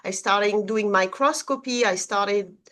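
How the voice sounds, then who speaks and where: A woman's voice: mid-range voice, measured pace. A middle-aged woman from France with a French accent, a guest telling her story in a casual interview and often checking in with "right?". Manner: animated storyteller, often ending points with "right?". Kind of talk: conversational interview.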